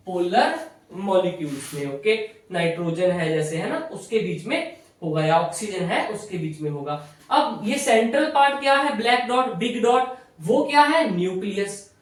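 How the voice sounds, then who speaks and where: An Indian-accented woman teaches at a markedly slow pace, in a fairly high voice. She explains didactically, putting questions and answering them herself. The sound is heavily echoey. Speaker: physiotherapy lecturer. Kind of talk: explanatory lecture mixing Hindi and English. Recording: echoey room, distant microphone.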